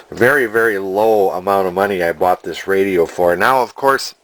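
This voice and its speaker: A man, talking casually and unscripted as a collector in a deliberate, plainspoken, folksy way. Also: deep voice, fairly bright tone. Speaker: antique radio collector and restorer. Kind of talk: casual unscripted video narration.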